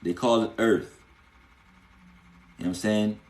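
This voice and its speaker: A man's voice: fairly low pitch, steady tone, measured pace, darkish tone, reverberant. A man, teaching spiritual lore casually on a live stream and answering viewers, often asking "you know what I'm saying" and rising into emphatic bursts.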